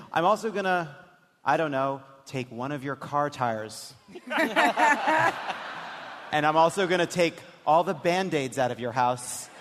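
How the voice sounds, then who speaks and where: A man's voice: a little high, animated, unhurried, long pauses, fairly bright tone, slight background noise. A man hosting a live comedic political panel, joking as he explains things. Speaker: podcast host. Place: large hall on stage. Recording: echoey hall, live stage.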